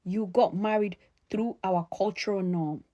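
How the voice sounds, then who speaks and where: Emphatic woman with a mellow voice. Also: mid-range voice. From Ghana. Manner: emphatic, admonishing, deliberate repetition. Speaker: sociologist addressing followers. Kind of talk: opinion vlog, direct address to audience.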